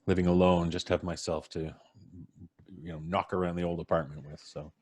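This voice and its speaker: A man's voice: low voice, little pitch movement, fairly quick, a little mellow. A man from Canada hosting a casual live stream, introducing guests in a jokey, showy announcer style.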